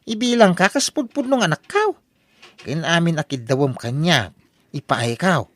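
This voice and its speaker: Man acting as scheming comic husband, exaggerated delivery, hugely animated pitch, sharp bright tone, radio drama. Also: moderate pace. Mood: coaxing.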